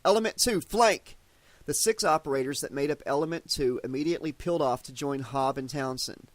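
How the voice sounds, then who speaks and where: A man's voice: mid-range voice, fast, no echo. Dry, close-miked male audiobook narrator. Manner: steady storytelling delivery. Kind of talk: scripted narration.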